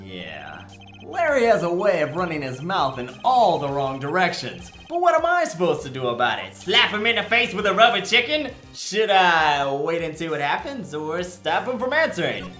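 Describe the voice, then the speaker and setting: Someone acting a cocky, bright-voiced young male lawyer. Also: medium pitch, sing-song, normal speed. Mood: nervous joking. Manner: flippant, wisecracking asides. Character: cocky, goofy rookie male defense lawyer. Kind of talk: comedic video game fandub. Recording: faint game music behind, roomy sound.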